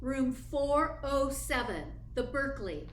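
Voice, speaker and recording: A woman's voice: high voice, lively intonation, slow. A woman playing a detective's efficient, matter-of-fact secretary in a radio play. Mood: efficient, composed. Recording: roomy echo, faint noise.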